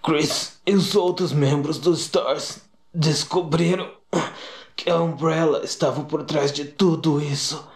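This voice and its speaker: A man's voice: fairly high pitch, fairly even intonation, takes it slowly. A man playing a wounded, selfless police officer in a horror game dub, earnest and strained. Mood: strained, urgent. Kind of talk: fan-dubbed horror game acting. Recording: echoey amateur dub.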